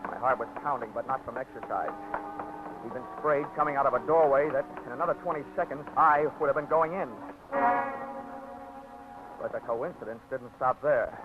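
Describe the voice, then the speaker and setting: A man's voice: medium pitch, normal speed, long pauses, natural voice. A wisecracking insurance investigator telling his case in a dry voice, as an actor plays him. It plays as a dull-sounding 1940s radio broadcast with music under the talk. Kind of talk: 1940s radio drama narration.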